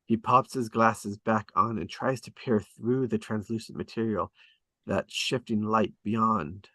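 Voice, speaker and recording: A man narrating screenplay stage directions at a table read in a measured, descriptive way, with short dramatic phrases. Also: fairly low pitch, fairly even intonation, bright, forceful tone, no echo.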